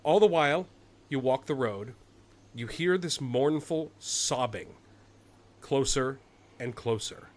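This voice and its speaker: A man's voice: mid-range voice, slow pace, pauses often. A man running a tabletop fantasy horror game as game master, moving between casual table talk and atmospheric description.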